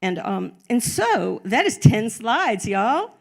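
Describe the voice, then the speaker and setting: Chatty woman with a creaky voice. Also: fairly high pitch, very animated, slow pace. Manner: chatty, informal, says "y'all" often. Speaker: conference panelist. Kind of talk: casual conference presentation. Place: conference room.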